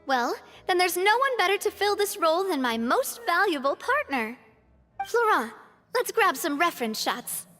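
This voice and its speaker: A bright, decisive young boss. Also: female voice, very high-pitched, sing-song, moderate pace, in a large hall, soft background music, exaggerated voice. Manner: upbeat, breezy, takes charge. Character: confident young female boss, cheerful and decisive. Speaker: boss of her group. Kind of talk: video game voice acting.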